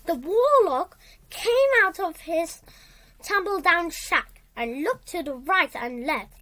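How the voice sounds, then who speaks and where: A woman reads a children's storybook aloud in a high voice with lively, swinging intonation. She takes her time and moves haltingly through the sentences. She often repeats or corrects a word. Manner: halting, repeats and corrects words while reading. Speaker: storybook reader.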